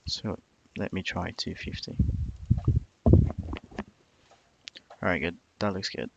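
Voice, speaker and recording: A man on an informal live coding stream, thinking aloud in a halting way, muttering to himself and repeating phrases. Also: low-pitched, creaky, highs cut off, very noisy. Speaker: live streamer learning software.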